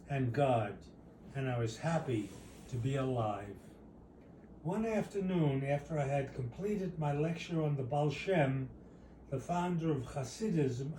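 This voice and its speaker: A man reads a personal short story aloud at a slow, deliberate pace. His voice is mellow and somewhat high for a man. It is recorded in a roomy space at some distance from the mic. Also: slight background noise. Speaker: author reading own short story.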